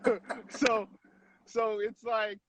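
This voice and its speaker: A man in his late thirties from Haiti, a guest telling stories in a casual, animated way over a video call, often tagging on questions. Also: high voice, very slow, a crowd in the background, low-quality recording. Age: 30s. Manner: animated, with frequent tag questions. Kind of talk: casual storytelling on a live stream.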